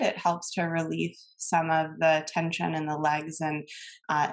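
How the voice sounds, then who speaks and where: A woman instructor leading a guided meditation in a calm, gentle manner, giving soothing cues with long pauses. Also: lowish voice.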